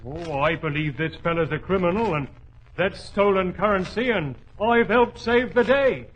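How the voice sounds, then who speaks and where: A man's voice: high voice, normal speed, muffled recording, lightly acted. A man playing a stubborn, dim village constable, blustering and scoffing in colloquial speech, in 1940s radio drama style. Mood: scornful disbelief. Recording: noisy vintage 1940s radio recording.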